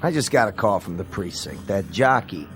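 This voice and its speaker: An actor playing an earnest, brooding newspaper columnist in a radio drama, matter-of-fact and pensive. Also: male voice, lowish voice, lively intonation, moderate pace, soft background music, natural voice.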